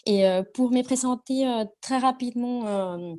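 Brief: woman, 40s, Argentine accent, mellow voice, hesitant, lots of pauses. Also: fairly high pitch. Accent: Argentine Spanish. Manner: hesitant, many fillers and restarts while searching for French words. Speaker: guest entrepreneur. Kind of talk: casual interview talk.

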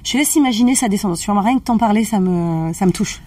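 A woman's voice: mid-range voice, fast, warm tone, distant mic. A woman practitioner explaining family constellations in casual conversation. She checks in often with her listener. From family of Algerian roots.

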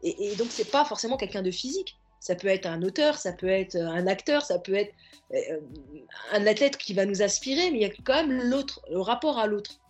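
A woman's voice: mid-range voice, lively intonation, brisk, faint music behind. A woman therapist and coach, a podcast guest, speaking earnestly in reflective interview talk and often circling back to find her words. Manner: earnest, searching for words, stacking restarts.

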